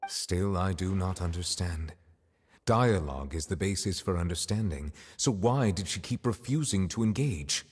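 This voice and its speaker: A man voicing a solemn, reserved chief judge in a video game, speaking formally and with measured words. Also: sits low, lively intonation, husky, big-room sound. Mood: grave, resolute. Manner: formal, measured, deliberate wording.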